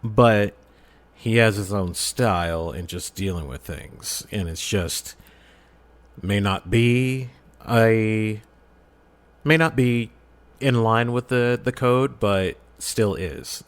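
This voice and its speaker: Low-voiced man chatting, halting delivery. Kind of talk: casual discussion.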